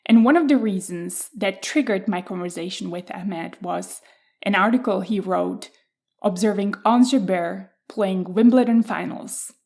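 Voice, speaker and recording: A woman hosting a podcast, reading a measured, reflective introduction and then welcoming her guest. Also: mid-range voice, creaky, a little mellow. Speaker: podcast host.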